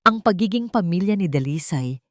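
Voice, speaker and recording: A woman's voice: on the low side, expressive, fairly quick, dry recording, studio quality, close to an everyday voice. A woman playing a caring but firm poor young heroine in an audio drama, speaking earnestly.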